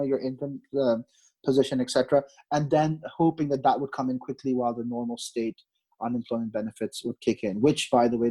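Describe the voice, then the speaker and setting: A man's voice: medium pitch, dark tone, husky, rough recording. A man in his late thirties from Pakistan, a market strategist answering interview questions in an explanatory way, with frequent restarts and fillers.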